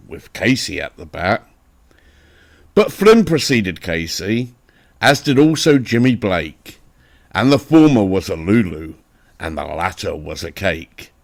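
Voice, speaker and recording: A man with an English accent giving a dramatic, theatrical recitation of a narrative poem, with deliberate, emphatic phrasing. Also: lowish voice. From England. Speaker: poetry reader.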